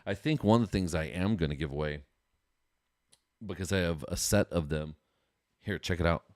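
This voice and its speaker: Low-voiced, chatty American man. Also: moderate pace, no echo, clean studio sound. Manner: informal, enthusiastic, slangy, chatty. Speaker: podcast host. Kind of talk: casual solo livestream chat.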